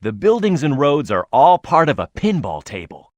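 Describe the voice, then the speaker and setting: A man's voice: on the high side, very animated, moderate pace, dry recording. A man voicing a tough, hot-headed echidna brawler in a video game, proud and blunt in manner. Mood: easygoing.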